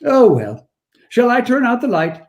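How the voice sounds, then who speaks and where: A man acting a kindly, merry old Santa Claus in a 1940s-style radio play, with gentle, courtly manners. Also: extremely high pitch, huge pitch swings, measured pace, exaggerated voice. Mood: gently probing.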